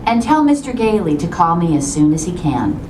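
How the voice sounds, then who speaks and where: Businesslike mother, played by a woman, polite and animated, distant puppet-theatre sound with clear noise. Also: on the low side, a little fast. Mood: polite, brisk. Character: polite, businesslike working mother of a young girl. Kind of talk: children's puppet musical acting. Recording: roomy, distant, noisy puppet show recording.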